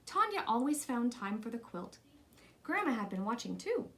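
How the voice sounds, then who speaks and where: Higher-voiced woman reading a children's story. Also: very animated, normal speed, fairly bright tone. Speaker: storybook reader. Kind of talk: read-aloud children's story narration.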